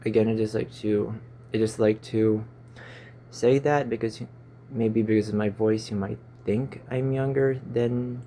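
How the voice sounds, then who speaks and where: Young Filipino-accented man, lowish warm voice, casual rambling delivery with verbal stumbles, phone-recorded. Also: steady tone, measured pace, slight background noise. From Philippines. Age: 20s. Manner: stumbling, fumbling over words. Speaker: YouTuber. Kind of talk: casual rambling vlog chat. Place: small stuffy room.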